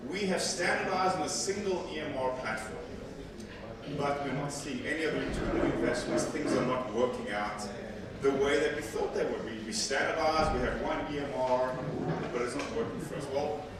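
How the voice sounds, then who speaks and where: A man's voice: medium pitch, background noise. A man presenting at a technical conference with a handheld mic, speaking conversationally and often repeating words as he corrects himself. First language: Afrikaans. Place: large hall with seated audience. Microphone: handheld mic, filmed from far back. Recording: echoey hall from far off with audience.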